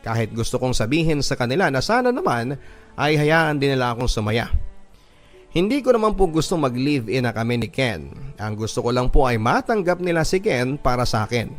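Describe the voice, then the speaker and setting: A man with a Filipino accent reads a listener's letter as a radio host, in an earnest, sentimental storytelling style. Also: medium pitch. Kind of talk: dramatic scripted narration of a listener's letter. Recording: music under the voice. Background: background music.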